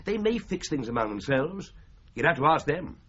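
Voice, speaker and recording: An actor playing an easygoing British office solicitor in a radio crime drama, casual and breezy. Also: male voice, fairly high pitch, big pitch swings, moderate pace, a bit bright. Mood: offhand. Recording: muffled radio drama recording with hiss.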